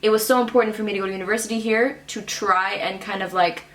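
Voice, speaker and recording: Brief: young woman, German native speaker, talkative and rambling with lots of fillers, mid-pitched voice, recorded in an echoey room. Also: steady tone, fast talker, fairly bright tone. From raised abroad in Cairo, Dubai and Shanghai. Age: young adult. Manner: chatty, laughs often, rambling with many fillers. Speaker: guest. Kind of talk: casual conversation.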